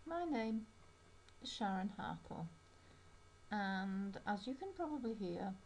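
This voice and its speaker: Yorkshire lady, middle-aged, chatty storyteller, mellow voice, faint hiss behind. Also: mid-range voice, lively intonation, slow. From England. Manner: chatty, storytelling with small asides. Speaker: English teacher and teacher trainer. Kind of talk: personal narrated video.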